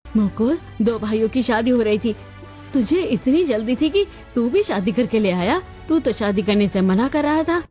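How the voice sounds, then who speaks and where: A woman's voice: a little high, lively intonation, moderate pace, voice pushed up, lightly acted. A woman voicing a bossy, caring middle-aged mother of grown sons in a cartoon story, firm and instructing. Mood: scolding. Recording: dull lo-fi audio with music behind.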